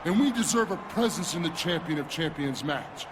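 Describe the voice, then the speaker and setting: A man's voice: fairly high pitch, lively intonation, moderate pace, noisy background, natural voice. Man voicing a boastful giant wrestler. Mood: rousing. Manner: boastful, menacing. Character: huge brash wrestler rallying his brand. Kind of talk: video game cutscene acting. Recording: game audio under loud music.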